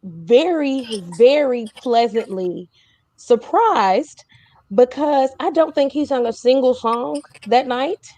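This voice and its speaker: A woman's voice: high voice, animated, slow pace, mellow, no room sound. Woman hosting, emphatic and chatty. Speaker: host. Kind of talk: casual interview chat.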